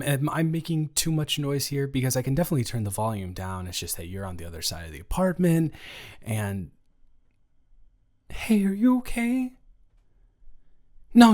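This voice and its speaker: A young man playing a gentle, awkward roommate with a crush in an improvised roleplay audio, hesitant and reassuring as he talks. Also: a little high. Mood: concerned, awkward.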